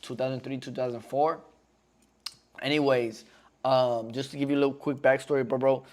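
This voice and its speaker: A man's voice: mid-range voice, steady tone, normal speed, darkish tone. A man in his twenties chatting casually on a live stream in slangy talk, often calling viewers "bro". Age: 20s.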